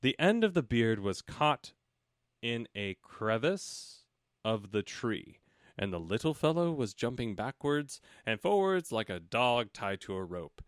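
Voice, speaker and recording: A man's voice: medium pitch, very animated, a little slow, smooth, no echo, clean studio sound. A man reading a storybook aloud as a comic co-host, breaking off for casual, irreverent remarks. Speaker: comic audiobook reader and co-host.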